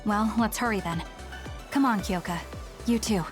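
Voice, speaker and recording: A woman's voice: medium pitch, normal speed, music in the background, lightly acted. A woman playing a forthright, decisive young squad leader in an English video game dub, direct and matter-of-fact. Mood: brisk, decisive.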